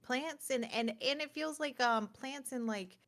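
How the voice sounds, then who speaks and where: A woman's voice: high voice, measured pace, fairly bright tone. A woman co-hosting a gaming news show, chatting casually and informally. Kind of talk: casual chat.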